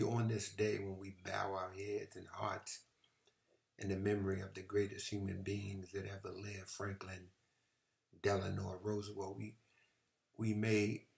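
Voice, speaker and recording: Low, gravelly man giving a political speech. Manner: measured, deliberate, emphatic phrasing with pauses. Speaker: congressman reading a speech. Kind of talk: formal political speech, oratory.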